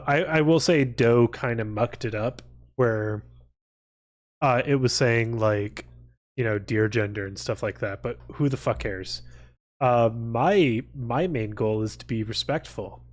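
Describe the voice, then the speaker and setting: A man's voice: medium pitch, measured pace. A man hosting a casual livestream, rambling along and swearing freely. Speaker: livestream host.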